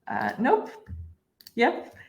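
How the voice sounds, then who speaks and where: Echoey-sounding woman hosting a webinar. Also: extremely slow. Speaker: webinar host. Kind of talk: live online webinar presenting. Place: bright office room with glass walls, indoors. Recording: echoey room on a video call.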